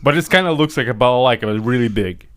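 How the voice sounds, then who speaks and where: A man co-hosting a podcast, rambling through stories in easygoing chat. His pitch swings widely as he talks, and he breaks into laughter often. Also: lively intonation, fast, clear, resonant tone. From Ukraine. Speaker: podcast co-host. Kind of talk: casual chat.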